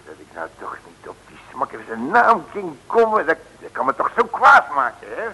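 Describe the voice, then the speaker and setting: An actor playing a bumbling, folksy, excitable male detective who blusters and stammers in a vintage comic radio serial. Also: fairly high pitch, moderate pace, husky, full character voice. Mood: excited. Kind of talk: 1960s Dutch comic radio serial acting. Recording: old radio recording, long reverb.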